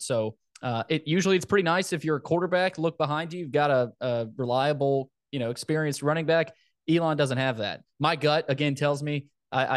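Guy hosting a sports podcast, middling pitch, casual football preview talk, really dry sound. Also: no echo.

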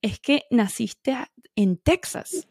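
A woman's voice: on the high side, lively intonation, takes it slowly, darkish tone, slightly rough, dry recording, clean studio sound. A woman hosting a podcast, chatty and effusive with her praise. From Venezuela. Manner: gushing, effusive. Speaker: podcast host. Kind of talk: casual interview chat.

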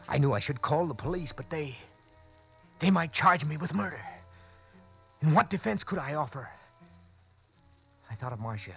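An actor playing an anxious young lawyer, narrating tensely in first person in a 1940s radio drama. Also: male voice, mid-range voice, fairly bright tone. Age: young adult. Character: panicked young lawyer who wakes beside a corpse. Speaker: narrator and lead. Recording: muffled old radio broadcast with music.

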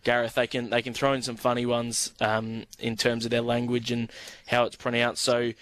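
A man's voice: medium pitch, normal speed, no echo. An Australian man co-hosting a harness racing podcast, chatting casually with lots of hesitations and fillers. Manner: hesitant, filler-heavy, even-toned.

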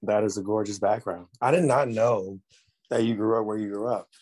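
A man with a fairly low voice hosts a conversational interview. He is casual and complimentary, and drops slangy remarks as he asks his guest questions. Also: fairly even intonation, moderate pace, a little mellow, slightly rough. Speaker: interview host.

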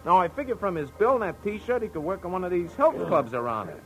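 A man's voice: sits high, very animated, fast talker, poor audio. An actor playing a shady, dapper ex-con businessman with slangy, insistent tough-guy talk in 1940s radio style. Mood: businesslike. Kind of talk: 1940s radio crime drama acting. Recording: old radio recording with music.

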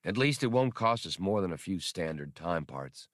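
A man's voice: low voice, expressive, normal speed, dry recording, clean studio sound. A man voicing a brash, impatient and sarcastic smuggler pilot who argues in an exasperated way, in an audiobook. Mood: grudging. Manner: exasperated, argumentative.

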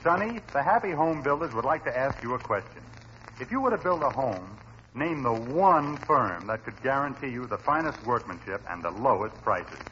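A man's voice: mid-range voice, big pitch swings, moderate pace, fairly bright tone. A man playing a pushy, cheerful roving radio reporter, with slick announcer patter in 1940s comedy style. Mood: breezy, cheery. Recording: muffled vintage radio recording with hiss.

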